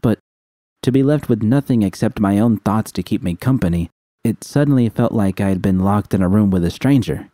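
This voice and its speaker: Dark, very low-voiced man reading a story. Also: normal speed, no echo, clean studio sound. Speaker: audiobook reader of fan fiction. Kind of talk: scripted first-person story narration.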